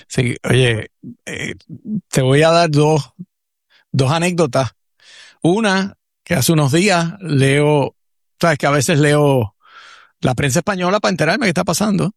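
Puerto Rican man, slightly high voice. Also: measured pace, long pauses, dry recording, clean studio sound. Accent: Puerto Rican Spanish. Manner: reflective, digressive, many restarts and fillers. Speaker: radio co-host, commentator; professor. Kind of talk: casual talk-show commentary.

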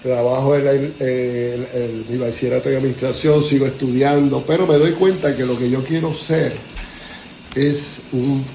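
A man's voice: mid-range voice, fairly even intonation, moderate pace, creaky, dark tone, a little hiss or hum. Older Puerto Rican man telling his story. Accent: Puerto Rican Spanish. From Puerto Rico. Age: 70s. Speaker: guest, motivational speaker and author. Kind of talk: casual interview conversation.

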